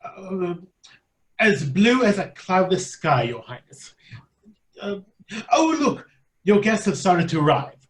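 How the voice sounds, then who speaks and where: A man's voice: high-pitched, very animated, slow, long pauses, full character voice. A man playing an officious, sly royal advisor in a children's comedy play, with a formal, obsequious manner. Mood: dryly obsequious. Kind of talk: staged reading of children's theater comedy.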